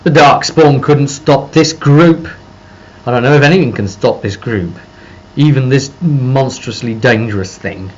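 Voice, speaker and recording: A man's voice: mid-range voice, a little slow, husky. A man gives an informal, rambling spoken recap of a tabletop game session as its game master, often repeating himself and trailing off. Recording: dull lo-fi mic with faint background noise.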